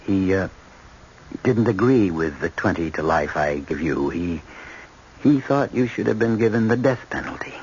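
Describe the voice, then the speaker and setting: An actor playing a troubled middle-aged judge, apologetic and earnest, stammering hesitantly in a radio drama. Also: male voice, deep voice, expressive, normal speed, a little mellow. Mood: uneasy, earnest. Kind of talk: 1970s radio drama acting. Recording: dull, noisy old radio broadcast.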